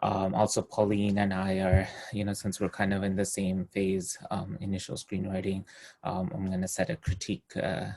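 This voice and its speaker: A man's voice: sits low, completely flat delivery, moderate pace, gravelly, husky. A man on a video call giving a hesitant, filler-heavy update on his screenwriting. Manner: hesitant, many fillers, soft trailing phrases. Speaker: screenwriter sharing project update. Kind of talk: casual meeting chat.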